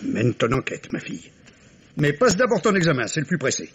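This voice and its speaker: A man's voice: on the high side, big pitch swings, brisk. An actor playing a dismissive, patronising middle-aged father and doctor, theatrically exasperated, in a 1950s radio mystery. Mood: brusque. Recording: noisy vintage radio drama recording.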